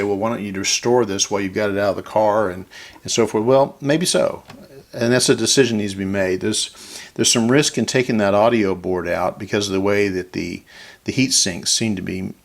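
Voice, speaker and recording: A man talking casually and conversationally in a folksy way as he hosts a hands-on electronics repair demonstration. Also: lowish voice. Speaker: host of electronics repair videos.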